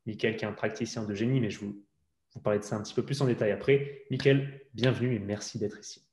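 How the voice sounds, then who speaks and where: A man's voice: lowish voice, steady tone, fast talker, a little mellow. A man from France hosting a fitness podcast, asking long rambling questions full of fillers. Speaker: podcast host. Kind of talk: casual podcast interview.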